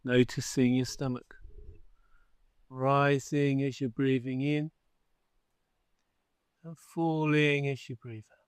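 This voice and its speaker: Very slow, smooth-voiced male hypnotherapist. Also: mid-range voice, little pitch movement, dry recording. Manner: slow, soothing, measured with long pauses. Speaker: hypnotherapist guiding a session. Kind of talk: guided sleep hypnosis narration.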